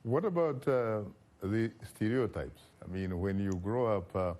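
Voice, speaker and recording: A man hosting a broadcast interview, asking measured questions with frequent pauses and fillers. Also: on the low side, lively intonation, a little mellow. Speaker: talk show host.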